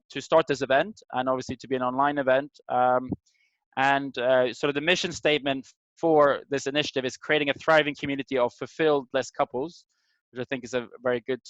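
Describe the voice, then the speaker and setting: Halting man hosting, dull call audio. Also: medium pitch, fairly bright tone, no room sound. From Sweden. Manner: halting, searching for words. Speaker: host of an online community meeting. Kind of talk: informal welcome and introductions on a group call. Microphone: video call microphone.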